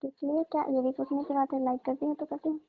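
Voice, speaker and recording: High-pitched young woman on a phone. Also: flat delivery, quick pace. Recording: tinny narrowband phone line.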